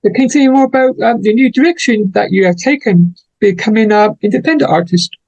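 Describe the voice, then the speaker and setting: An interview host asking simple, set questions in a steady way. The voice has a gravelly, fry-filled edge. It is recorded dry, with no room sound around it. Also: medium pitch, fast talker, no echo, muffled recording. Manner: plain, formulaic questions.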